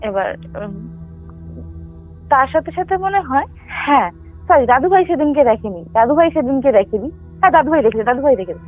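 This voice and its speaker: Lady on a phone line, high voice, telling a spooky story, keeps backtracking, music under it. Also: expressive, slightly rough. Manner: halting, repetitive, self-correcting. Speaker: caller telling a family ghost story. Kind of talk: casual spoken storytelling with frequent check-in questions.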